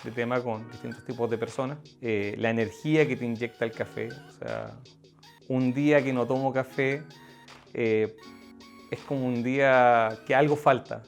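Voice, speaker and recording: A man's voice: mid-range voice, steady tone, moderate pace. A Chilean man answering interview questions about his work as a barista, hesitant and repeating words as he thinks. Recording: guitar music behind the voice.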